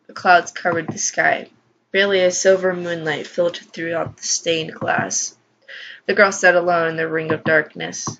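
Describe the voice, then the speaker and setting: A woman's voice: fairly low pitch, sharp tone, muffled recording, a little hiss or hum. A woman hosting a gaming channel, reading a visual novel aloud and adding emotional commentary. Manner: emotional, exclaiming reactions.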